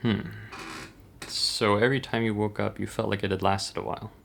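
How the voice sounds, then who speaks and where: A man playing a composed, sceptical hospital doctor in a horror manga dub, measured and clinical, with a gravelly voice. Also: lowish voice, little pitch movement.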